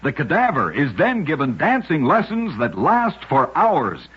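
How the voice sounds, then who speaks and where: A dull, lo-fi radio recording carries a very husky man narrating curious facts. He sits fairly high for a male voice and delivers his script in a measured, emphatic way, as a storyteller hosting a show. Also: huge pitch swings.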